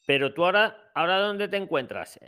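A man's voice: high-pitched, animated, a little fast, bright, forceful tone, clear, resonant tone. A man with an accent from Spain hosting an informal live advice discussion, colloquial, often interjecting and directing who speaks. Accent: Spanish from Spain. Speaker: host of a live advice call-in. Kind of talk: informal unscripted group discussion.

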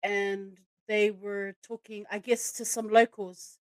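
A woman's voice: mid-range voice, level tone, slow, dry recording. A woman hosting a casual interview podcast, enthusiastic and speaking to her guest in a familiar, sisterly way. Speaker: podcast host.

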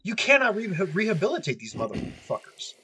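A man's voice: sits high, wildly swooping pitch, a little fast, pushed and bright, a little hiss or hum. A man hosting a podcast who rants angrily and swears constantly, sniffling from allergies, talking from a cold house. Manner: angry, profane ranting. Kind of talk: ranting podcast talk.

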